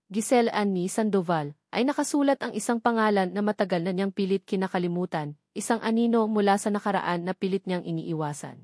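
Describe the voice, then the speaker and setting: A woman's voice: medium pitch, darkish tone, no echo. Dramatic woman audiobook narrator, dry sound. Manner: dramatic, emphatic phrasing. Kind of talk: scripted dramatic story narration.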